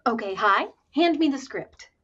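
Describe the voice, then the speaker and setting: Dull audio, female actor as melodramatic society wife, 1940s radio comedy, high-pitched, very lively swoops, drawn-out words. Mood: sweet, friendly.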